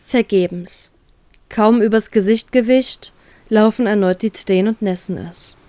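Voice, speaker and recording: A woman's voice: medium pitch, measured pace, mellow, dry recording. A woman reading a scripted story aloud as its narrator, in a dramatic storytelling style. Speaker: narrator of fan fiction audio story.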